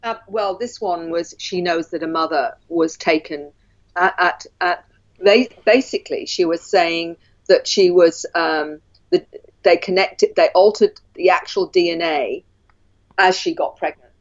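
A woman with a low-pitched voice explains her ideas in a measured way, often pausing on ums. Her voice comes through a dull, lo-fi line. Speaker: guest. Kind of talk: explanatory interview talk.

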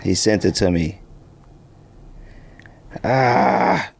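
A man's voice: mid-range voice, very slow, creaky, breathy edge. A man co-hosting a sports podcast, chatting and debating casually with a questioning, incredulous manner.

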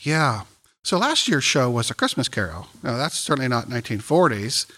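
A man hosting an interview, asking quick, jokey questions. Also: medium pitch, very animated, sharp tone. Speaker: interview host. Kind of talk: radio interview.

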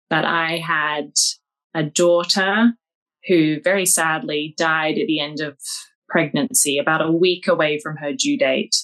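A woman with an Australian accent, a guest author giving calm, measured, personal answers in an interview. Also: low voice, poor audio.

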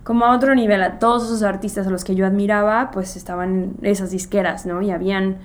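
A woman's voice: mid-range voice, steady tone, quick pace. A woman musician from Mexico with a Mexican Spanish accent, whose first language is English, chatting in an interview and telling stories in a rambling way, full of fillers. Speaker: guest musician. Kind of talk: casual interview chat.

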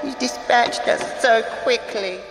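An actress playing an eerie murdered child returned from the dead, speaking plaintively in a horror audio play. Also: female voice, fairly high pitch, slow pace. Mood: eerie reproach. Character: second undead murdered child, eerie, pleading. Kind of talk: horror audio drama acting. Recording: heavy echo, hall reverb under music.

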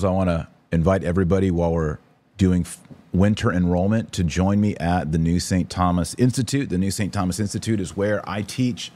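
Deep voiced guy doing a religious podcast, casual and slangy, talks right at the audience, pretty emphatic. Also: dark tone. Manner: informal, emphatic, slangy, direct address. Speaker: podcast host; online course teacher.

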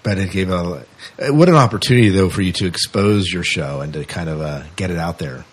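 A man's voice: low-pitched, a little fast, slightly rough. A man hosting a podcast in casual interview chat, informal and hesitant, often repeating and rephrasing himself. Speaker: podcast host.

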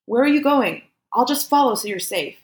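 A woman's voice: fairly high pitch, expressive, moderate pace, roomy sound, voice pushed up, natural voice. A woman voicing a desperate, apologetic male lover who pleads urgently, as in an audiobook. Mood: desperate, pleading.

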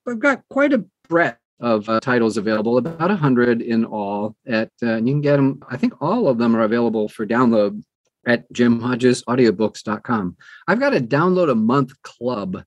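A man's voice: mid-range voice, very animated, normal speed, no echo, highs cut off. An older American man chatting casually as an interview guest. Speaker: guest, audiobook narrator and publisher.

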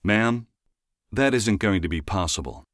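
A man's voice: lowish voice, animated, a little slow, fairly bright tone, dry recording, studio quality. A man playing a formal, by-the-book time-police officer in an audio drama, with a businesslike official manner. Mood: dry. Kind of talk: full-cast audio drama acting.

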